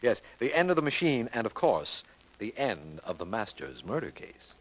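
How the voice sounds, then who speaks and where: An actor in a 1940s radio drama playing an admiring, good-humored district attorney talking over a solved case. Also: male voice, on the low side, very animated, normal speed, very noisy. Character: amiable district attorney, the detective's friend.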